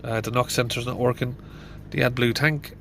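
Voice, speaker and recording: Mechanic guy sitting in a van, stuffy hay fever voice, pretty monotone, bit of hiss behind. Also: mid-range voice, moderate pace, vocal fry. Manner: plain, thinking aloud with fillers. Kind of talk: casual diagnostic walkthrough. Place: inside a van in a garage.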